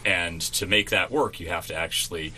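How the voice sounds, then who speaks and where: Deep, very bright-voiced man chatting. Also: moderate pace, slight background noise. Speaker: radio play actor, interview guest. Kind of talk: casual radio interview. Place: college radio station.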